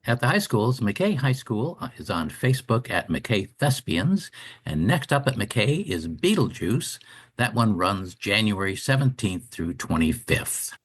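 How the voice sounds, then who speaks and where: A man's voice: fairly low pitch, sing-song, a little slow, husky. A man co-hosting a radio talk show, delivering scripted announcements and interview questions.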